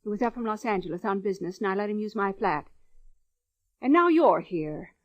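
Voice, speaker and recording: Muffled old radio recording, actress as a composed american businesswoman, mid pitch, crisp matter of fact. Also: animated, a little fast, lightly acted. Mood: puzzled, indignant. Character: composed, businesslike female gem dealer. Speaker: radio actress in supporting part. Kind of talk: 1940s-50s American radio drama acting.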